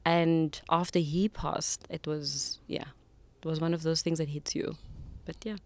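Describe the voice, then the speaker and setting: A South African woman in her thirties talks as a podcast guest, her voice sitting slightly low. She tells stories with animation and lets her voice fall quiet on heavier memories. The sound is close and dry, taken from a desk boom mic in a studio. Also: measured pace, no room sound. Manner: animated storytelling, softening to quiet when serious. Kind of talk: casual interview conversation.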